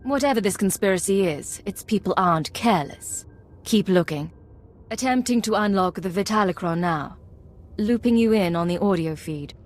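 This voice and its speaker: A woman playing a cool, determined imperial agent in a video game, speaking in measured, terse sentences. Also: mid-range voice, a little mellow, close to an everyday voice. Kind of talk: video game dialogue acting.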